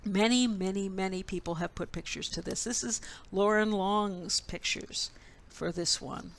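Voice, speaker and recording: Female storytime reader for small kids, unhurried pace with a creaky edge, clear instructions and dramatic storybook phrasing. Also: medium pitch. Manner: simple, instructive phrasing addressed to small children. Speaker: children's librarian leading storytime. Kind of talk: storytime for young children, with rhymes, songs and read-aloud. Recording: steady background noise.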